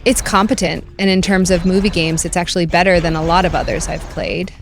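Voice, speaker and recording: A man reading a scripted video game review voice-over in a measured, critical, wry way. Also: sits high, steady tone, gravelly. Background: background music.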